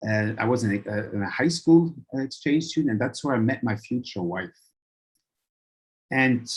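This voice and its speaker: A man's voice: mid-range voice, darkish tone, breathy edge, low-quality recording. A man from Switzerland, a professor and guest speaker, presenting a webinar lecture in a friendly, conversational way. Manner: friendly, conversational, uses "right?".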